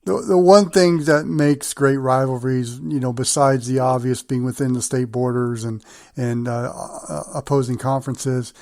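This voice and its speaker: An American man hosting a sports interview, putting his questions in halting, deliberate phrases. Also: medium pitch, steady tone, a little mellow, dry recording, clean studio sound. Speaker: sports show host, interviewer.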